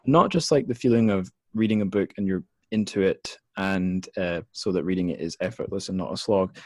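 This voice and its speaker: A man with a very low voice hosts a casual podcast chat, musing in a halting way and often starting his sentences over. The sound is close and dry, with no room around it. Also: no echo. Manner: thoughtful, hesitant, many restarts and fillers. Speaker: podcast host.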